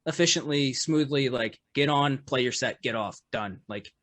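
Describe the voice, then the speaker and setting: A man, a musician guest on a podcast, with a loud voice, telling a story in casual chat full of fillers and restarts. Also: medium pitch, moderate pace, no echo. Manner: rambling, lots of fillers and restarts, laughs often.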